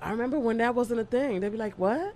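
An animated woman in her thirties, a New York-raised attorney, talks loosely and laughs often. She slips into quick mimicked voices when retelling what people say to her, then drops back into relaxed conversation. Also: on the high side. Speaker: attorney, guest. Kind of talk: casual podcast chat.

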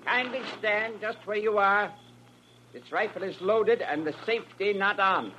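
High-voiced, prim little villain. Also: male voice, a little slow, pushed and bright, faint music behind. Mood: stern, threatening. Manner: formal, precise, humorless. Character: pudgy, prim little man, fussy armed menace. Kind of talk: 1940s radio drama acting. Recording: muffled old radio recording.

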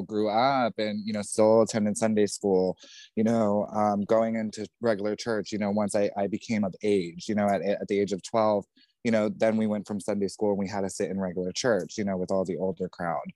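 A young man with a New Jersey accent tells his own story on a podcast, loud, outspoken and swearing freely. His voice sits a little low and sounds mellow and warm. The sound is close and dry, with no room around it. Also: level tone, moderate pace, dry recording. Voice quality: says he has a feminine voice. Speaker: podcast guest. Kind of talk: candid personal storytelling.

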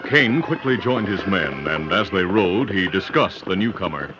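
Deep-voiced old-time western radio narrator. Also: male voice, expressive. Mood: dramatic, steady. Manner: measured, dramatic storytelling. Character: grave male narrator of a western adventure serial. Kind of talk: 1940s-50s radio drama narration. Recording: dull vintage radio recording with music.

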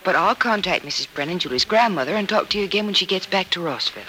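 A woman's voice: fairly low pitch, expressive, quick pace, pushed and bright. An actress playing a level-headed, caring young woman with a polite, earnest manner in a radio soap opera in the style of the 1940s. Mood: polite, explaining. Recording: old noisy radio recording.

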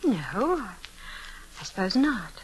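An actress playing a guarded, resentful young niece and paid companion, cool and precise, in old-time radio drama style. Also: female voice, medium pitch, very animated, slow, slightly rough, full character voice, rough recording. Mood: cool, wary. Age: young adult. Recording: vintage radio broadcast recording.

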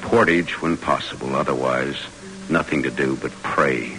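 A very deep-voiced expedition major. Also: male voice, fairly even intonation, moderate pace, over music, poor audio. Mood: measured, solemn. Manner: clipped, telegraphic journal phrasing. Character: stoic male expedition leader, army major, dictating journal. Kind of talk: old-time radio drama acting.

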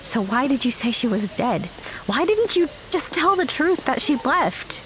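A woman performs a guarded young woman in an audio drama, wry and blunt but soft-hearted underneath. Her voice sits fairly high and moves around a lot in pitch, over clearly audible background ambience. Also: big pitch swings, unhurried, slight background noise, exaggerated voice, rough recording. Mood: hurt, annoyed. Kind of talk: naturalistic audio drama dialogue.

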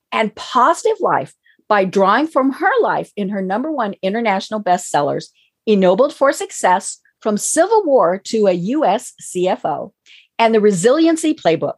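Female talk-show host, upbeat and gushing, slightly husky, very animated delivery. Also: mid-range voice. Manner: bubbly, gushing, frequent fillers. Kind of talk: enthusiastic interview hosting.

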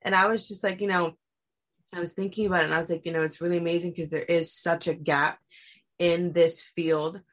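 A woman's voice: lowish voice, level tone, fairly quick. A woman podcast host interviewing a guest in a casual way, praising her with eager slang and plenty of fillers.

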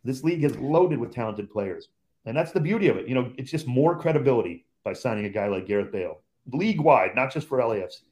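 A man's voice: medium pitch, expressive, fast, darkish tone. A man who calls games on the radio, a guest on a podcast chat, talking in an emphatic, opinionated way and repeating points for stress.

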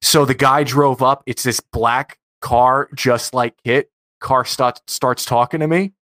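A man with a medium-pitched voice chats as a podcast co-host. He spins anecdotes in a wry, self-deprecating manner, recorded dry and close. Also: steady tone, slow, a bit bright, dry recording. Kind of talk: casual anecdotal chat.

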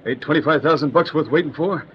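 A man's voice: a little high, fast talker, lightly acted. Brusque, cocky crook played by a man, muffled sound. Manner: slangy, brusque. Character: cocky tough-guy male crook, brusque and greedy. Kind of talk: 1940s radio acting. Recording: dull, noisy old radio recording.

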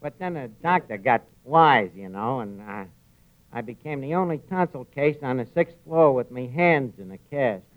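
A man's voice: medium pitch, animated, moderate pace, natural voice. An actor playing a boastful, wisecracking working-class tavern man with a New York accent in old-time radio comedy. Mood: boastful joking. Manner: wry, wisecracking, slangy. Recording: tinny, narrow vintage radio recording.

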